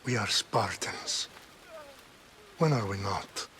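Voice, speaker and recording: An actor playing a defiant Spartan king who rallies his men in slow, booming battle oratory for a video game. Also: lively intonation, bright, forceful tone, a little hiss or hum, full character voice. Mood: grim defiance.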